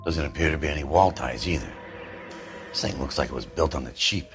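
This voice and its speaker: An actor playing a blunt, sardonic middle-aged American police detective in a crime video game. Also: male voice, a bass voice, brisk, over music. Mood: weary, matter-of-fact.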